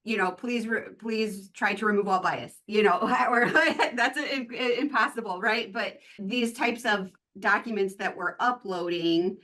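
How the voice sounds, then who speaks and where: Bright-voiced Midwestern woman asking questions. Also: medium pitch, unhurried, hardly any pauses. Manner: rambling and self-correcting, with many restarts. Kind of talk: casual question on a video call.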